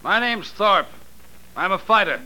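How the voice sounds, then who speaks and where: A man's voice: high voice, animated, unhurried, bright, forceful tone, heavily acted, low-quality recording. A man playing a plain, earnest American prizefighter in 1940s radio drama style. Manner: earnest, simple.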